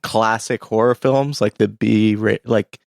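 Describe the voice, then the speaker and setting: A man's voice: fairly low pitch, fairly even intonation, a little slow, vocal fry, dry recording, studio quality. A man co-hosting community radio, chatting casually in an offhand, rambling way. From Canada. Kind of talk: casual chat.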